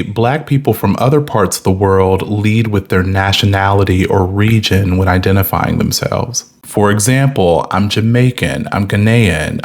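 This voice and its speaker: An American man giving a scripted explanatory commentary in a measured, deliberate, methodical way. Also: low voice. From United States.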